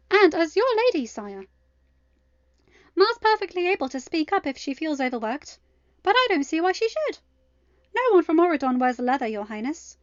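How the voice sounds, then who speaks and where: A woman's voice: sits high, no echo, muffled recording, voice pushed up. A woman voicing a prim, condescending royal handler who scolds and huffs, in an audio story. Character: snooty, scolding young female royal handler.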